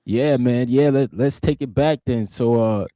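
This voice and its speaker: A man's voice: medium pitch, unhurried, warm tone, no room sound, studio quality. A man hosting a podcast, asking casual questions and filling in with short, easygoing affirmations. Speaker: podcast host. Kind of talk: casual interview chat.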